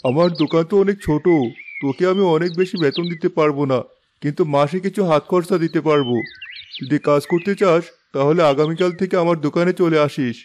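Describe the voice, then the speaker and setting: Man playing a highish-voiced, plain-spoken village shopkeeper. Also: fast talker, a little mellow, slight background noise, natural voice. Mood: kindly. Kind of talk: Bengali cartoon moral story dialogue.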